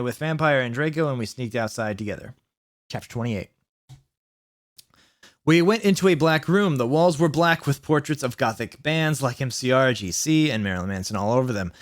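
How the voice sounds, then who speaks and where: A man's voice: mid-range voice, fairly bright tone, clean tone. Male host for comic read-aloud: mid-range voice, exasperated, stumbling, mentally drained. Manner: stumbles over misspelled words, breaks off into exasperated asides. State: mentally worn out from long reading. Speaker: YouTube host reading fan fiction aloud. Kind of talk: comedic dramatic read-aloud with asides to viewers.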